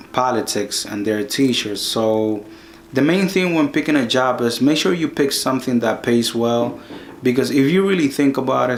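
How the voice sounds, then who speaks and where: A man from the Dominican Republic with a Dominican Spanish accent, teaching an online course in a casual, halting way that repeats words. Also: medium pitch, slight background noise. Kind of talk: casual advice lesson.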